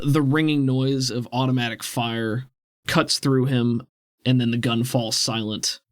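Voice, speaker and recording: A man's voice: mid-range voice, no echo, clean studio sound. A man running a tabletop role-playing game as game master, narrating scenes in a descriptive, cinematic way.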